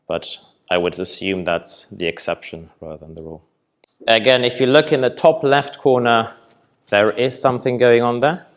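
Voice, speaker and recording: A young man from Poland presenting at a festival hall and taking audience questions, relaxed and hesitant with many ums. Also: mid-range voice, moderate pace. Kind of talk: conference talk with audience Q&A.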